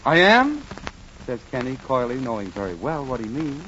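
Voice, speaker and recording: A man with an American accent playing a friendly, earnest druggist pal and pitchman as a 1940s radio announcer. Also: big pitch swings, moderate pace, a bit bright. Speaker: announcer and sidekick. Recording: muffled, noisy 1940s radio recording.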